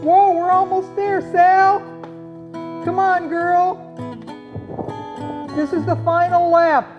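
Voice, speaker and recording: Children's puppet show: excitable folksy pioneer farmer, high and exclamatory, played by a woman, faint guitar behind a lo-fi recording. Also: takes it slowly. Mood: excited, urging. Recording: dull lo-fi audio, guitar music behind.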